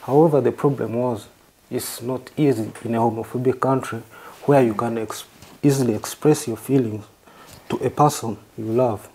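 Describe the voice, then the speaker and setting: A man from southern Uganda with a Ugandan accent, answering interview questions in halting, formally worded phrases. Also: mid-range voice, steady tone, dark tone, husky, poor audio. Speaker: interview guest.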